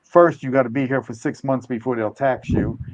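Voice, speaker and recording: A man's voice: mid-range voice, steady tone, moderate pace, dry recording. Older male host, casual, rambling and hedging, dull dry recording. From United States. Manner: rambling, hedging, self-correcting. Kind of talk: casual live stream talk.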